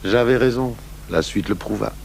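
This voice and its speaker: An actor playing a gruff, weary French police commissioner narrating his own case in a 1980s radio drama. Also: lowish voice, moderate pace. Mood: measured, wry. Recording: noisy old radio broadcast recording.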